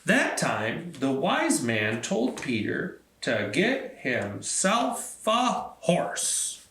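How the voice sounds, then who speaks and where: A man's voice: fairly high pitch, wildly swooping pitch, extremely slow, fairly bright tone, echoey room. A man hosting a storytime for children, talking simply and encouragingly as he leads activities and tells stories. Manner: encouraging, simple instructions addressed to young children. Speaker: library storytime host.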